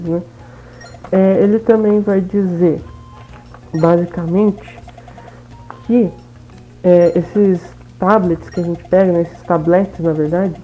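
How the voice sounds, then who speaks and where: Casual Brazilian woman, slightly dull sound. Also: medium pitch. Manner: informal, frequent tag questions. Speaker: gaming video host. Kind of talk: casual gameplay commentary, recapping. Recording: dull, lo-fi sound, faint game music.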